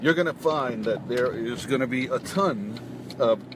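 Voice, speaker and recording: A man's voice: medium pitch, unhurried. A middle-aged man with a New York accent, a fitness coach giving a casual, emphatic pep talk to his phone from a parked car. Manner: chatty, emphatic, self-deprecating asides. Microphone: phone held close, front camera. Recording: car with clear road noise.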